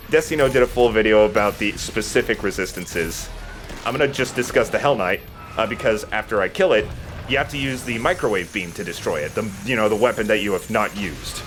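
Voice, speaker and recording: A man's voice: medium pitch, a bit bright. A man giving casual, explanatory commentary as he plays a video game. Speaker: let's play host.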